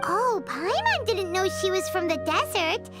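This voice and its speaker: Squeaky, excitable little fairy over music. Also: female voice, unhurried, exaggerated voice. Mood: chatty, animated. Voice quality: squeaky, childlike. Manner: speaks of herself in third person, bubbly. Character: tiny chatty childlike female fairy companion, cheeky and excitable. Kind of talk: video game character acting.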